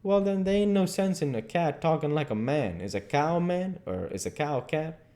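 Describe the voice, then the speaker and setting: A man's voice: on the high side, lively intonation, moderate pace, mellow, pitched above the performer's own voice, natural voice. A stubborn Southern man, as acted out by a male reader, argues in a thick folksy dialect. He is an unschooled storybook character, indignant and insistent in the way he reasons. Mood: stubborn, argumentative. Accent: American South. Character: stubborn, argumentative uneducated grown Southern man, a runaway. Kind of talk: audiobook character dialogue.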